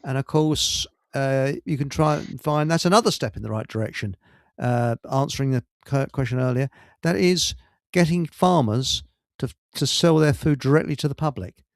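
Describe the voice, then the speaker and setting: A man's voice: medium pitch, moderate pace, no echo, clean studio sound. A man with an English accent hosting a radio show in casual, rambling chat, telling stories with colloquial asides and digressions.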